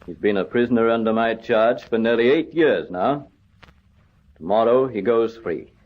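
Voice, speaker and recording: An actor playing an earnest, well-meaning prison governor and colonel in an old radio drama, formal and deliberate. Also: male voice, mid-range voice, natural voice. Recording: dull old radio recording.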